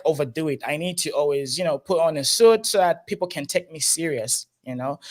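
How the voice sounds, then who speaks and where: Young man with a squeaky voice. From Zambia. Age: young adult. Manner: earnest, explanatory, many fillers and restarts. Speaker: guest, entrepreneur. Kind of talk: online interview, advice talk.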